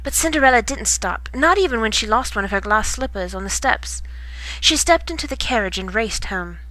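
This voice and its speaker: Bright-voiced woman reading a bedtime story. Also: fairly high pitch, expressive, a little fast, no room sound, poor audio. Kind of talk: expressive storybook narration.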